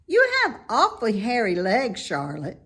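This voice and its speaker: A woman's voice: medium pitch, huge pitch swings, measured pace, fairly bright tone, slightly rough, voice pushed up, full character voice. A woman voicing an eager, boastful young male pig from a storybook, full of wide-eyed exclamations. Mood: amazed, curious.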